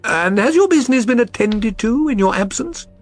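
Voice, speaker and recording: A man voicing a brisk, self-assured gentleman detective in an audiobook, precise, probing and authoritative. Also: very high voice, expressive, soft background music, voice pushed up. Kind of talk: audiobook character reading.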